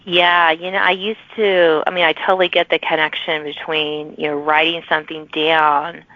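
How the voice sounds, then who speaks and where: A middle-aged woman hosting a podcast interview, chatty and quick to laugh, with plenty of fillers. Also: sits low, level tone, sharp tone, no room sound. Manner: chatty, laughs often, uses many fillers. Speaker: podcast host. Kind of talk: casual interview chat. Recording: dull lo-fi remote recording, dry.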